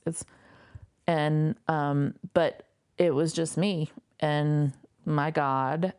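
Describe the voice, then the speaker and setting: A woman guest sharing a personal story in a reflective, unhurried way, with frequent fillers. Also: low-pitched, a little mellow, smooth. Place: a home.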